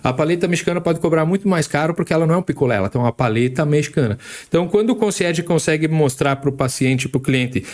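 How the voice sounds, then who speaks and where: A man's voice: on the high side, fast talker, hardly any pauses, darkish tone. A man co-hosting a casual podcast who explains things with examples and often restarts his sentences. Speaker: podcast co-host.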